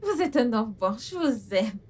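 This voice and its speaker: An actress playing a humble, earnest young household employee and devoted daughter in a melodramatic film. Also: female voice, fairly high pitch, very animated, normal speed, darkish tone, breathy edge. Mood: warm, respectful. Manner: earnest, effusively grateful. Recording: music under the voice.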